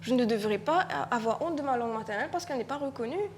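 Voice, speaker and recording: A woman from Mauritius with a Mauritian French accent, her voice sitting a little high. She speaks as a writer at a live conference panel, reflective and halting, often restarting and repeating words. A faint hiss lies under her voice. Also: lively intonation.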